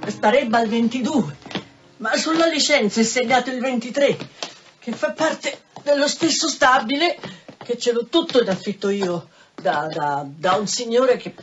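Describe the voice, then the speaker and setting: An actress in a radio drama playing a flustered, squeamish brothel madam who talks in halting, colloquial stammers. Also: female voice, on the high side, soft background music, natural voice. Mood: evasive, fussy. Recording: dull, noisy radio drama recording.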